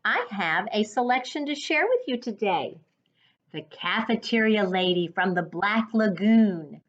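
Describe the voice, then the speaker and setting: A woman reading a children's story aloud as a reading coach, with a deliberate, instructive, teacher-like delivery. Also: mid-range voice, lively intonation. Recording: dull lo-fi sound.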